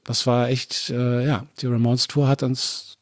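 A man's voice: mid-range voice, little pitch movement, unhurried, no echo. Man telling band anecdotes, dry sound. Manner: rambling anecdotes with restarts and chuckles. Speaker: musician guest. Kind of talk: casual conversation.

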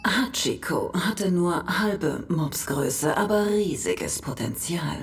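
Slow, low-voiced cosmic encyclopedia narrator. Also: female voice, expressive, faint music behind. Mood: solemn. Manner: measured, solemn, formal. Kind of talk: scripted mock-documentary narration in a radio play.

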